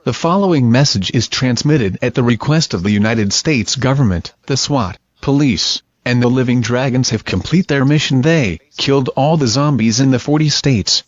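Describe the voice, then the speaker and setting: A man's voice: mid-range voice, moderate pace, dry recording. A man reading a scripted emergency broadcast announcement in a formal manner, as an alert announcer. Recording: dull lo-fi audio, loud noise.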